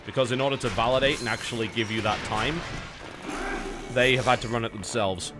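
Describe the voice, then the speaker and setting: A man's voice: mid-range voice, steady tone, fairly quick. An English man giving live commentary on his game, explaining his tactics as he plays and swearing now and then. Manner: analytical, explains tactics while playing, occasional swearing. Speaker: streamer playing a game. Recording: game music playing behind.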